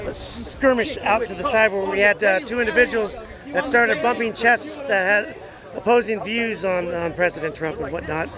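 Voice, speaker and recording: An American man, a rally organizer, explaining things haltingly in an unscripted outdoor interview. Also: high-pitched. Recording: outdoor crowd noise behind voice. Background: crowd chatter.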